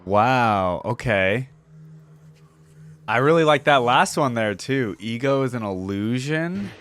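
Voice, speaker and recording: A man's voice: medium pitch, animated, slow pace, a bit bright. A man giving casual, musing reaction commentary on a game as the host.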